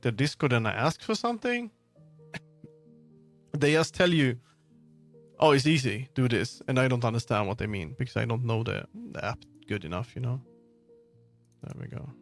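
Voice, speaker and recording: A man chatting casually on a livestream as he works, often halting and muttering to himself. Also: medium pitch. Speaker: livestreamer making a game. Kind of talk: casual livestream chat while working. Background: background music.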